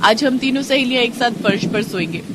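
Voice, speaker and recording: A woman's voice: a little high, animated, fairly quick, background noise, close to an everyday voice. A woman voicing a cheerful, affectionate young woman, polite and friendly, visiting a friend in a moral story. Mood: insistent, affectionate.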